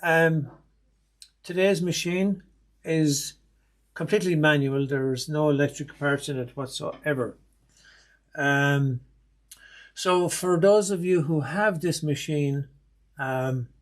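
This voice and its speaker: A middle-aged man with an Irish accent talks through a repair lesson step by step, methodical and plain-spoken. His voice sits a little high for a man. He often pauses on an um or an uh while he works. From Ireland. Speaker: sewing machine technician. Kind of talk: instructional demonstration.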